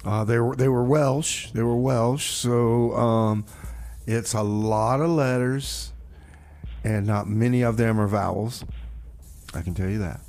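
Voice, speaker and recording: A man's voice: mid-range voice, steady tone, takes it slowly, a little mellow. Rambling, wry male radio DJ. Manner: rambling, self-interrupting, wry. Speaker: radio DJ and host. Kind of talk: casual radio back-announcing. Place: community radio studio. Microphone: studio microphone.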